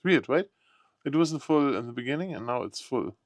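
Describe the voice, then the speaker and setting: A man's voice: mid-range voice, expressive, normal speed, dry recording. A man giving casual, unscripted unboxing commentary, thinking aloud in short, tentative remarks. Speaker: product unboxing vlogger.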